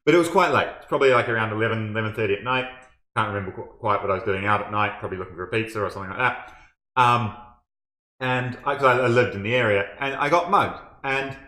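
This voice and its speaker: A man's voice: fairly low pitch, fairly bright tone. A man with a New Zealand accent telling a story to his viewers in a laid-back, self-mocking way. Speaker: storyteller and channel host. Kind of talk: casual spoken storytelling. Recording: echoey room.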